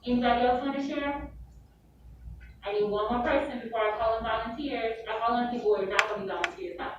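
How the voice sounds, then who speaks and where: A woman's voice: a little high, fairly even intonation, quick pace, lo-fi sound. A woman teacher reading aloud and leading a discussion with her class. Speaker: middle school teacher. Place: indoor classroom. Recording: very echoey classroom, distant mic, noisy.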